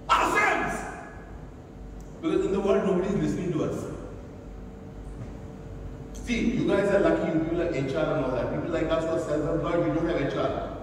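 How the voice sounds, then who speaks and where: High-voiced Indian man in an echoing hall. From Delhi, India. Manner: animated, fires rhetorical questions at the audience. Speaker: motivational speaker. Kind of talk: interactive motivational talk to educators. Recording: echoey hall, voice from far off, faint hiss.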